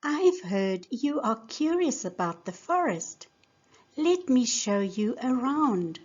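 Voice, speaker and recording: Friendly, unhurried storybook rabbit, read by a woman. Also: on the high side, sing-song, darkish tone, husky, muffled recording, full character voice. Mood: friendly, welcoming.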